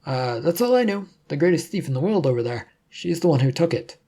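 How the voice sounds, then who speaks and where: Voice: medium pitch, very animated, brisk, mellow, husky. A narrator voicing a nervous, evasive man in an audiobook, hesitant and defensive. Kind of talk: audiobook character voice.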